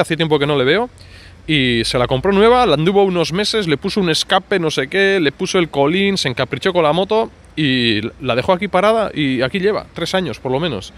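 A man's voice: a little high, expressive, a little fast, a bit bright. A man, giving chatty, colloquial vlog commentary outdoors. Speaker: motorcycle vlogger. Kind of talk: casual off-the-cuff vlog commentary. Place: outdoors in a street parking area. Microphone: handheld phone or camera, speaker behind it. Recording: noisy outdoor urban street.

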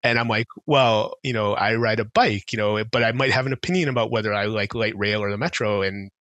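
A man's voice: fairly low pitch, fairly quick, fairly bright tone, no echo, highs cut off. A middle-aged man from Israel, a podcast guest talking casually over a video call on a headset, thoughtful with many fillers and restarts. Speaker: guest, transportation consultant. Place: home office with bookshelves. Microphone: headset mic on video call.

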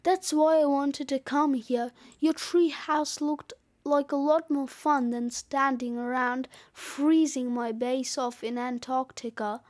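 Woman doing a gruff, bossy storybook snowman. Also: sits high, fairly even intonation, takes it slowly, few pauses, a little mellow, no echo, studio quality. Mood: smug, brash. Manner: slangy, brash, dismissive. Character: grumpy, cheeky male snowman, bossy wise guy. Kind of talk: children's audiobook character.

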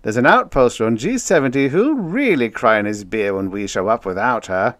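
A man's voice: mid-range voice, lively intonation, normal speed, fairly bright tone, no echo, clean studio sound, pitched above the performer's own voice, close to an everyday voice. A man voicing a jovial, teasing spaceman with a slangy, wisecracking manner in a story reading. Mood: knowing, teasing.

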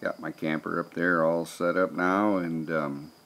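A man's voice: low voice, little pitch movement, a little slow, fairly bright tone. A man, narrating casually in a plainspoken, folksy way. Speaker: vlogger narrating fishing trip.

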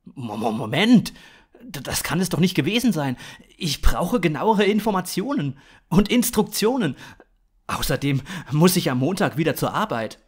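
A man's voice: on the high side, big pitch swings, normal speed, husky, pitched above the performer's own voice. Man playing a bewildered, argumentative everyday man. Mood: flustered.

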